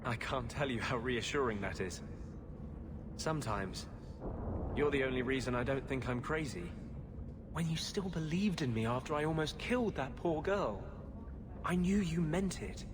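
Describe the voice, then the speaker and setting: A man's voice: fairly high pitch, lively intonation, normal speed, pauses often, natural voice. Man playing an intense rebel mage, noisy backdrop. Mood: wistful, grateful. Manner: intense, impassioned. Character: earnest, brooding rebel mage harboring a vengeful spirit. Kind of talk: video game voice acting. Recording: clear ambient noise behind voice.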